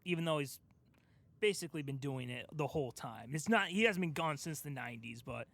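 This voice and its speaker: Higher-pitched man, explanatory podcast host. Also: animated, brisk, a bit bright. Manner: explanatory, emphatic, talks through an argument step by step. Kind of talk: casual pop culture discussion.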